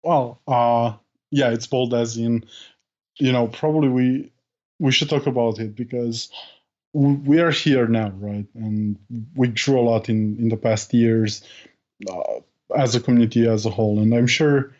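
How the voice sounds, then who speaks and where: Hesitant man, mellow dull-sounding voice. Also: medium pitch, fairly even intonation, measured pace. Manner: hesitant, many restarts and fillers. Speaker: podcast guest. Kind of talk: casual chat.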